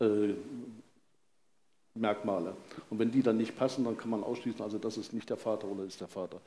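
A man's voice: lowish voice, fairly even intonation, brisk, warm tone. An older man explaining forensic procedure as an expert guest, matter-of-fact and precise. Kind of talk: expert explanation in live talk. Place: on stage in a vaulted hall with audience. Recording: roomy live stage sound, faint noise.

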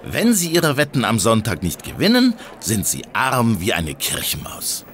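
An actor playing a smug, hectoring police inspector in an audio drama, pressing and accusatory, with some ambience behind him. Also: male voice, mid-range voice, wildly swooping pitch, normal speed, a bit bright, faint music behind. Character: triumphant, hectoring male police inspector interrogating suspect.

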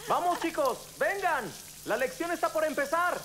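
Cartoon rabbit instructor, played by an actor: upbeat, swooping intonation, Latin American accent, clear background noise. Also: sits high, lively intonation, normal speed. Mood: brisk, cheerful. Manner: encouraging, instructing. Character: upbeat grown-up rabbit swimming instructor, encouraging.

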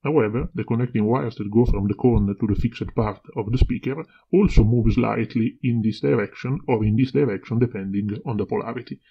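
A man with a husky, slightly gravelly voice presenting an informal hands-on electronics explanation. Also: lowish voice, fairly quick, hardly any pauses. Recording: dull, lo-fi home video audio.

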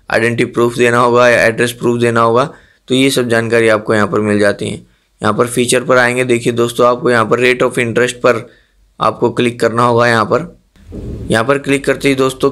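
A man hosting an explainer channel, narrating a scripted voice-over in a steady manner and often addressing viewers as friends. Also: medium pitch. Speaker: channel host.

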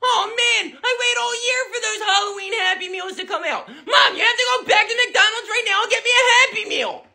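A man voicing a whiny, indignant little boy in an exaggerated family comedy skit, pleading in drawn-out shouts. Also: very high voice, gravelly, heavily acted. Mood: indignant, upset.

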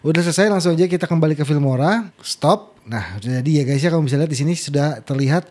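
A man, hosting a casual, chatty software tutorial into a close microphone. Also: on the high side, quick pace. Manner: chatty, frequent "guys" and "ya" tags. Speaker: tutorial host. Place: small indoor home studio. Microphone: close desk microphone.

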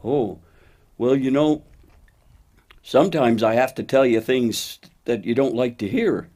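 A man voicing a steady, kindly cow who talks plainly and patiently, gently teaching, in a children's puppet show. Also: mid-range voice, unhurried, natural voice. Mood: modest. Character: steady, faithful cow, a wise kindly friend.

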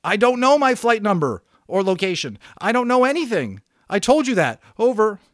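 A man's voice: high voice, very animated, normal speed, no room sound, clean studio sound, pitched above the performer's own voice. Man voicing a frantic stranded boy. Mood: desperate. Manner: frantic, pleading, shouting. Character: panicked teenage boy stranded in a plane.

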